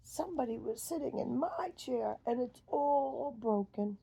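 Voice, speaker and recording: A woman's voice: a little high, lively intonation, measured pace, vocal fry, mellow, slight background noise, voice pushed up. A woman voicing a small young storybook bear, childlike and plaintive, with a whiny exclaiming manner. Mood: upset.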